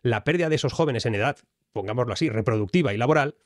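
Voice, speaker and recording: A man's voice: medium pitch, lively intonation, racing, no room sound, studio quality. A man from Spain, delivering fluent, emphatic analytical commentary. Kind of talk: explanatory commentary.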